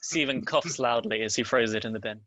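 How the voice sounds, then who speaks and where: A man's voice: medium pitch, a little fast, fairly bright tone, no echo. A man reading stage directions as scripted narration at a table read.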